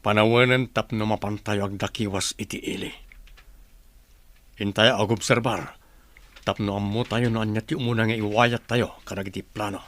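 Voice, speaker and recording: A man acting the authoritative, deliberate male leader of a secret group in an Ilocano radio drama, with an Ilocano accent. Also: on the low side, fairly even intonation, a bit bright, natural voice. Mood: commanding, scheming.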